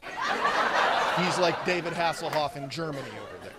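Man, thirties, stand-up comic, deadpan, high-ish voice, stand mic, small indoor set. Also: unhurried, over a noisy crowd, heavy background noise. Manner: deadpan delivery with animated, sweeping hand gestures. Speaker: stand-up comedian. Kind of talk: stand-up comedy routine. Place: indoors, small set in front of a red curtain. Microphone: stand mic in front of him.

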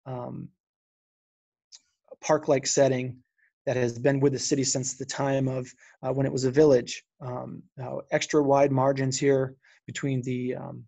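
A man speaking in a webinar about city trees, halting and full of restarts and fillers as he answers questions over a video call. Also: medium pitch, flat delivery, vocal fry, dark tone, no room sound. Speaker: city urban forestry official. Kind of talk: unscripted webinar presentation and Q&A.

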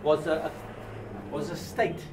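An older man with a South African accent giving an informal history lecture to a small class, often halting and repeating words as he explains. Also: a little high, level tone, darkish tone. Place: small indoor seminar room. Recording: distant mic with light background noise.

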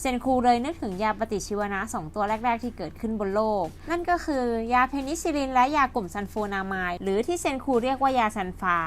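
High-pitched woman narrating an educational video. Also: slight background noise. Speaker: host and narrator.

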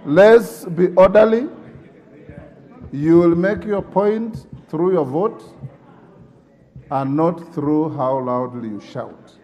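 A man's voice: a little high, slow, long pauses, muffled recording. A middle-aged man chairing a rowdy parliament session, sternly calling for order and lecturing members. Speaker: parliamentary speaker. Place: large parliament chamber. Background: noisy chamber of shouting members.

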